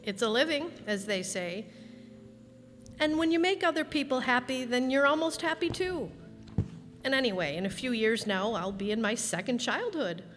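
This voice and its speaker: A woman playing a gentle, resigned twelve-year-old boy with an old soul in a live radio play. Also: fairly high pitch, expressive, moderate pace, soft background music. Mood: wistful, resigned. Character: wistful twelve-year-old boy who is secretly over forty. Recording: echoey hall, stage pickup.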